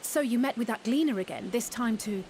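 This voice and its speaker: An actress playing an inquisitive, composed companion in a video game, asking questions in scripted dialogue. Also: female voice, a little high, fairly quick, a little mellow, slight background noise, close to an everyday voice. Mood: curious. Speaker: game character voice actor.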